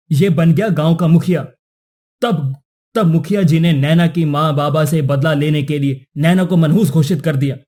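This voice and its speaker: Cartoon prince, righteous and formal, male actor, slightly high, mellow and earnest. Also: lightly acted. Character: righteous young prince defending a falsely accused girl. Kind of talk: Hindi cartoon moral-story dialogue.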